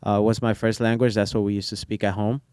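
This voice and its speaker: A young man with Portuguese as his first language chats easily about his barbering travels, his low voice smooth and resonant. He wanders through his thoughts with fillers and restarts. The sound is close and dry. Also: fast, dry recording. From Brazil. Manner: relaxed, rambling with many fillers. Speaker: barber guest. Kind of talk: casual interview chat.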